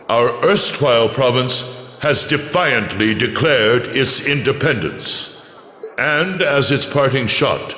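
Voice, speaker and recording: An actor playing a proud, commanding chancellor delivering grandiose, deliberate political oratory in a video game. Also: male voice, mid-range voice, a bit bright. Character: commanding, proud imperial chancellor rallying his nation. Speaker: chancellor giving a public address. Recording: echoey public-address hall reverb.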